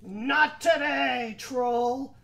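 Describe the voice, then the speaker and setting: A woman voicing the biggest male billy goat, bold, loud and firm, in a children's story. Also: high voice, extremely slow, gravelly, pitched above the performer's own voice, full character voice. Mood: defiant.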